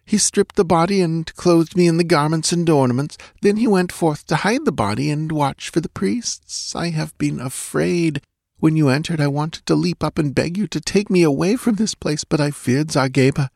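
A man's voice: on the high side, normal speed, vocal fry, darkish tone, no echo, studio quality, pitched above the performer's own voice. A man voicing a terrified young dancing girl in an audiobook, with a whimpering, pleading, breath-catching delivery. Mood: fearful confessing. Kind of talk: dramatised audiobook character voice.

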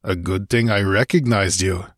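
A man voicing a gruff, fearless barbarian warrior in a fantasy audiobook, blunt and growling. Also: low voice, normal speed, no room sound, studio quality. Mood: gruff, wary. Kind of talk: dramatized audiobook fantasy adventure.

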